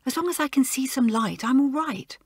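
A woman's voice: sits high, lively intonation, fairly quick, darkish tone, breathy edge, no echo, clean studio sound, voice pushed up, exaggerated voice. A woman voicing a tearful, ashamed schoolgirl. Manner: sobbing, pleading.

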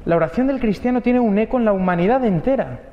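High-voiced preacher in a reverberant church. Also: male voice, lively intonation, quick pace. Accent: Spain Spanish. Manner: conversational preaching with rhetorical questions and tag words. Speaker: priest. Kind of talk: homily at Mass. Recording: dull, lo-fi audio with long church reverb.

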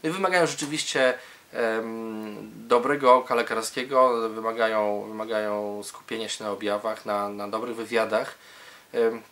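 A man with a lowish, very bright and forceful voice explains things as a medical specialist. His delivery is halting, with repeated words and hesitations as he builds each sentence in an interview setting. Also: steady tone.

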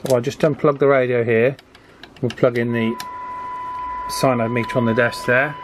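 A man's voice: medium pitch, fairly even intonation, normal speed. A man giving a casual hands-on electronics tutorial, thinking aloud informally as he works at an indoor workbench. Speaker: electronics hobbyist demonstrating radio alignment. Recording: clear background noise from workbench.

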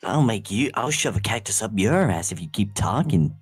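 A man's voice: fairly low pitch, big pitch swings, moderate pace, slightly rough, no echo. A man voicing a sassy, crude, erratic cartoon hedgehog in an improvised comedy fan dub, sarcastic and mock-dramatic. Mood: mock outrage. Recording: music behind the voice.

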